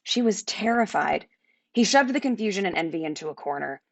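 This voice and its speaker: A woman narrating a children's fantasy book aloud as its author, in an expressive storytelling style. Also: mid-range voice, a little fast, creaky, dry recording. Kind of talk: animated children's audiobook narration.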